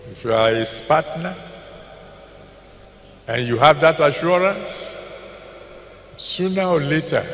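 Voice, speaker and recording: An older man with a Nigerian accent preaching a sermon into a handheld mic in a large packed auditorium, slowly repeating scripture references and decreeing blessings. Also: medium pitch, gravelly, fairly bright tone, slight background noise. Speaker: pastor. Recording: echoey hall with long reverb.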